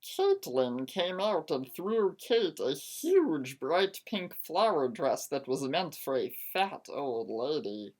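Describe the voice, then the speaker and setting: A woman's voice: low voice, big pitch swings, slow, hardly any pauses, a little mellow. A woman narrating a first-person story as a theatrical dramatic reading.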